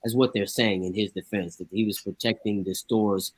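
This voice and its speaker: A man's voice: on the low side, steady tone, normal speed, husky, low-quality recording. A man on a talk show making his points emphatically, with rhetorical questions and a blunt, argumentative manner. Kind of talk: casual radio talk show.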